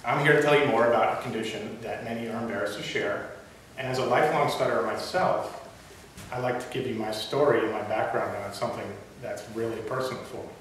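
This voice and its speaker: A man's voice: medium pitch, brisk, fairly bright tone. Young man with a slight stutter, echoey room. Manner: occasional stutters and restarts. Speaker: club speaker. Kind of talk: prepared public speech. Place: meeting room. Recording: echoey room from far off, hiss.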